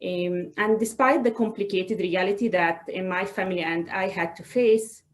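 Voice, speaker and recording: A woman, giving a personal talk in a webinar in a halting, hesitant way with frequent pauses. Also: mid-range voice. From Israel. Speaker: guest speaker, doctor. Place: online video call.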